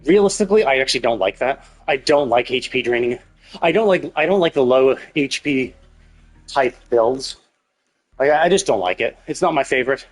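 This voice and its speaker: A man doing casual live game commentary, speculating and thinking out loud, with some casual swearing. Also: medium pitch, animated, moderate pace. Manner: casually profane. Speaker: game livestreamer.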